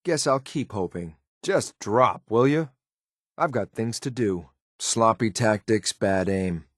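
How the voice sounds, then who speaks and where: A man acting as a stoic, loyal but ruthless synthetic soldier in a video game, with a clipped, military, deadpan delivery. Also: on the low side, takes it slowly, dry recording, studio quality. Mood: cold, taunting. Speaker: companion character in a video game. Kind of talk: scripted game voice lines.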